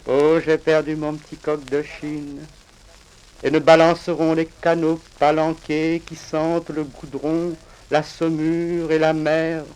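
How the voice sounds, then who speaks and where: A man from Reunion Island reciting his own poems in a solemn, measured, declamatory way with deliberate pauses, on a crackly vinyl record. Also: on the high side, level tone, darkish tone, smooth. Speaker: poet reading own work.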